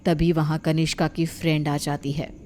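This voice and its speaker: Low-pitched woman story narrator. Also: little pitch movement, moderate pace, a little mellow, slight background noise. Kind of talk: scripted cartoon horror story narration.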